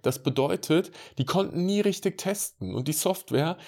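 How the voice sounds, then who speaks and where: A man's voice: fairly high pitch, expressive, normal speed, gravelly, darkish tone. A man working as an IT consultant, speaking in a casual, direct monologue and addressing the listener informally.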